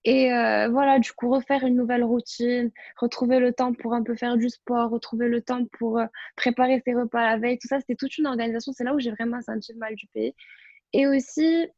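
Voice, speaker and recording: A woman's voice: a little high, flat delivery, a little fast, a little mellow, no echo, dull audio. A young woman from Morocco chatting casually and personally as a student on a podcast panel. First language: French. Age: young adult.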